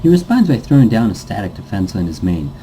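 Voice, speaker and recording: A man narrates a visual novel in a low, very dark and soft-edged voice. His pitch moves in a lively, dramatic way, and he sometimes stumbles and rereads. Faint noise sits behind him. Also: fast, low-quality recording. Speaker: reader, host of a let's read series.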